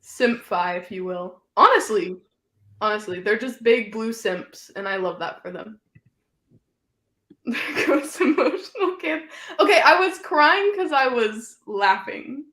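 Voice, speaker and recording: A woman's voice: a little high, expressive, a little slow, fairly bright tone, roomy sound. A woman hosting a casual video-call chat about books, joking and giggling often. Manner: giggly, jokey, over-caffeinated. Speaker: host.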